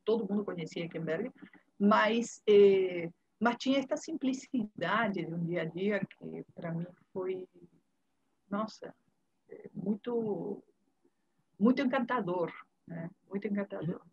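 A woman in her fifties with a Uruguayan Spanish accent, a native Spanish speaker, reminiscing casually as an interview guest in a husky voice over a video call. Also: medium pitch, a little slow. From Montevideo, Uruguay. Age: 50s. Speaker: interview guest, curator and art history professor. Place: indoor room, video call. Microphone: earphone headset on video call. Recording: dull lo-fi video call audio.

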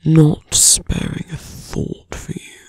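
A man voicing a suave, seductive villain mage in an ASMR roleplay, hushed, deliberate and condescending, with calm menace and a mocking edge, often dropping to a whisper. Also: dry recording, lightly acted. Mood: smug, taunting.

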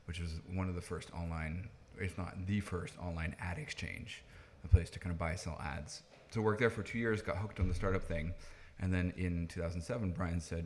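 A man's voice: deep voice, darkish tone, slight background noise. A man in his thirties from the Netherlands, a native Dutch speaker, explaining things conversationally as a podcast guest. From Netherlands, moved to the US at nine. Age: 30s. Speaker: podcast guest, tech founder. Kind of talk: conversational interview answers, explaining.

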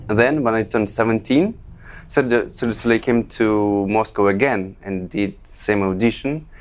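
A man's voice: fairly low pitch, normal speed. Young man with a Russian accent. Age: young adult. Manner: friendly, storytelling. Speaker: guest performer. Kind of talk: interview answers.

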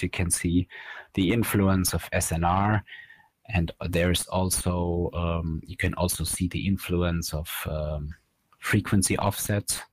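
A man in his thirties presenting a technical slide lecture as a researcher, explaining in a measured, halting way from a small room. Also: low-pitched, a little mellow, breathy edge, no echo. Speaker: researcher presenting at an online academy.

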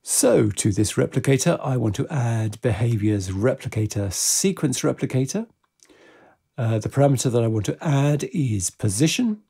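A man presenting a software tutorial step by step, in a methodical, informal way. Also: mid-range voice, animated, moderate pace, creaky, husky.

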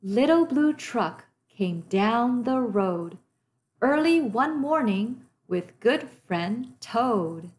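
A woman reads a picture book aloud as a storytime host. Her pace is very slow and deliberate, and her pitch moves expressively for young listeners. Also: animated, darkish tone.

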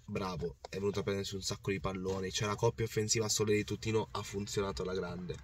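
Hoarse man rambling in a parked car. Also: low voice, little pitch movement, quick pace, far from the mic, a little hiss or hum. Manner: passionate, rambling with restarts and repetitions. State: hoarse, voice worn out. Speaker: football fan vlogger. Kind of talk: casual match recap to camera. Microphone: phone or camera on the dashboard.

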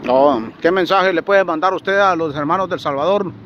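A man's voice: high voice, moderate pace. A man interviewing a preacher in a casual, friendly way, outdoors in a parking lot, recording on his own phone. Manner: friendly, asks question after question. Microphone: phone held in his own hand. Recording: dull phone audio, faint traffic.

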